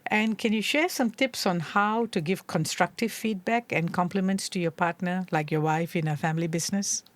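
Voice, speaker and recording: A woman's voice: on the low side, moderate pace, no echo. A woman from Singapore hosting an interview, asking simple questions in a conversational way. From born in Sri Lanka, moved to Singapore at seven. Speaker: interview host. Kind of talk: conversational interviewing with short listening responses.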